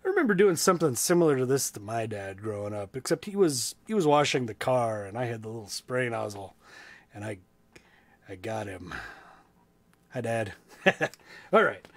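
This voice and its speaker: A man's voice: mid-range voice, no room sound. An American man hosting a children's storytime, reading playfully with chatty, casual asides. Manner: playful, animated, dramatic drawn-out reading. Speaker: storytime reader and host.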